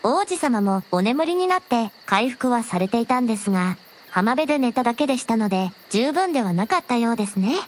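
A woman playing a gentle, very polite dragon princess with a softly teasing manner in a scripted audio drama. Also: a little high, lively intonation, fairly quick, slight background noise, close to an everyday voice. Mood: gentle, earnest. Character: gentle polite dragon princess, a shrine maiden's alternate self.

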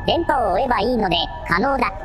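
A synthetic female voice playing a calm, matter-of-fact AI assistant in a radio drama, reporting in clipped phrases. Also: a little high, quick pace, over music, natural voice.

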